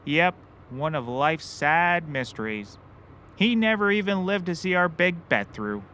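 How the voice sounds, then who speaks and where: An actor playing a clueless, self-important and excitable private eye in a scripted comedy, with bombastic delivery. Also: male voice, a little high, a little slow, slight background noise. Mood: oblivious. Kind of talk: scripted audio comedy acting.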